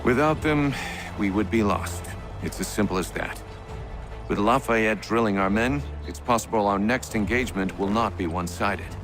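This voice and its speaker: Man acting resolute game commander, middle-aged, lowish voice, formal, deliberate, clear music underneath. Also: natural voice. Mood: grave, hopeful. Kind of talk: video game cutscene acting.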